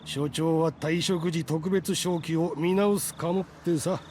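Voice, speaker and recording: An actor playing a courteous, calculating police chief in a Japanese visual novel, politely applying pressure. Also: male voice, on the high side, steady tone, moderate pace, darkish tone, slight background noise, natural voice. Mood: politely pressuring. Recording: game audio over insect and street ambience.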